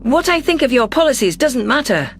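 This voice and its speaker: A performer voicing an earnest, wary deposed alien governor who narrates in a measured, formal, reflective way. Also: high-pitched, very animated, a bit bright, a little hiss or hum, exaggerated voice. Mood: guarded, reluctant. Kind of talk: scripted first-person audio story narration.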